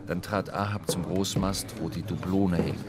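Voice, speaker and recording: A man's voice: low voice, fairly even intonation, moderate pace, heavy background noise. A man narrating a literary sea adventure for a radio drama, recorded close in a studio.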